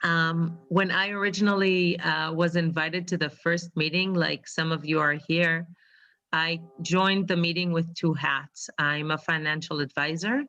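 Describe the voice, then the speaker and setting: A woman whose first language is Hebrew, sharing her story on a video call and pausing often with ums. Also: low voice, pushed and bright, dull audio. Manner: hesitant, many ums. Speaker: webinar participant. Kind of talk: casual pitch on a video call.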